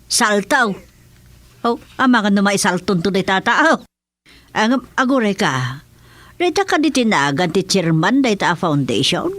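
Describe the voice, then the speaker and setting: Chatty older Ilocano village wife. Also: female voice, medium pitch, very animated, unhurried, a bit bright. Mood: questioning, nagging. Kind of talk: Ilocano radio drama comedy acting.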